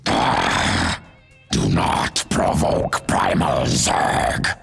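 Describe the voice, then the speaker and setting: Gravelly, cavern-deep reptilian alien beast. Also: male voice, big pitch swings, a bit bright, soft background music, full character voice. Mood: menacing warning. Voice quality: guttural, gravelly. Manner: halting, terse broken speech. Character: primal Zerg pack leader, reptilian beast, blunt and predatory. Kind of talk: video game character acting.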